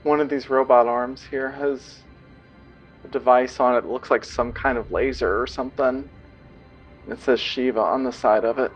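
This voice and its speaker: A man's voice: medium pitch, fairly even intonation, moderate pace. A man speaking casual in-character dialogue in a tabletop role-playing game. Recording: dull, lo-fi sound with faint music. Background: music.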